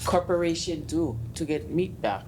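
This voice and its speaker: Low-voiced woman hosting a chat. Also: animated, normal speed, a little mellow. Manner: friendly, playful prompting questions. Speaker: host. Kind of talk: casual interview chat. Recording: background noise.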